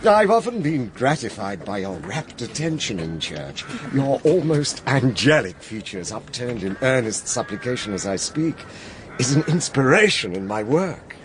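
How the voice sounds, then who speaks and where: A man's voice: mid-range voice, big pitch swings, normal speed, a crowd in the background, close to an everyday voice. An actor playing a pompous, unctuous Victorian clergyman with a declamatory manner in a period audio drama. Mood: fawning. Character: pompous, fawning Victorian clergyman, stern with the poor.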